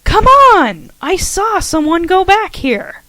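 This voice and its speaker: A woman's voice: very high-pitched, very animated, slow pace, pushed and bright, no room sound, voice pushed up, exaggerated voice. A woman voicing a nervous young female student who calls out with uneasy bravado. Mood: calling out.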